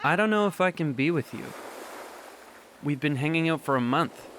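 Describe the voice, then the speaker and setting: A young man playing an earnest, nervous teenage boy in love, speaking softly and stammering in a student radio drama. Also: fairly high pitch, animated, background noise, close to an everyday voice. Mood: conflicted. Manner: halting, stammering, soft.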